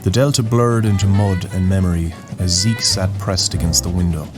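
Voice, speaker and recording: A man narrating a scripted dramatic story in a solemn, poetic, deliberate way. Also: low voice, steady tone. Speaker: narrator, host of a story series. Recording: music bed under the voice. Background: music.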